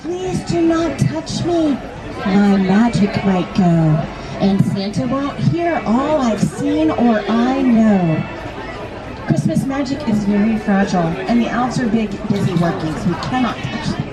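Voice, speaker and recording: A woman reading a storybook aloud to children in an expressive way, often putting questions to them. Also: sits high. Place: live reading inside a large toy shop. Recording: busy echoey store, crowd and loud music.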